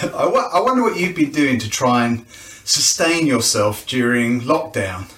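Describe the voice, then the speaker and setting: A man's voice: mid-range voice, very animated, unhurried, a bit bright, distant mic. A man giving an informal, chatty announcement for a church event, with rhetorical questions and a self-deprecating touch. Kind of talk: informal promotional video announcement. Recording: echoey, roomy sound.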